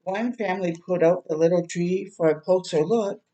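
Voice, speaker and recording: A woman reading a picture book aloud to young children in a gentle, expressive storytime manner. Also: fairly low pitch, normal speed, low-quality recording. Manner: gentle, expressive, prompting listeners with questions.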